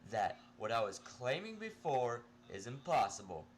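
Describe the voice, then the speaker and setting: A man's voice: medium pitch, very animated, a little slow. A man voicing a sarcastic, irritable male patient locked in an asylum, in an amateur story reading with background noise.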